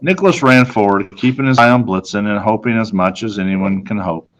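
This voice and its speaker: A man reading a children's storybook aloud in a dramatic manner, with deliberate pauses. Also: lowish voice, low-quality recording. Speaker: storybook reader.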